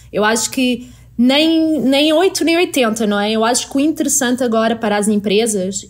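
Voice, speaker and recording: A woman with a European Portuguese accent, speaking as a panelist in a casual discussion, reflective and tagging her points with questions. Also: on the high side. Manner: reflective, adds frequent tag questions.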